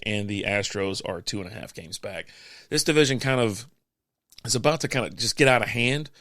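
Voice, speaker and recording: A man hosting a podcast, reading out sports standings in a casual, chatty way with offhand quips, his voice going hoarse. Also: mid-range voice, a bit bright, no room sound, clean studio sound. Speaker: podcast host.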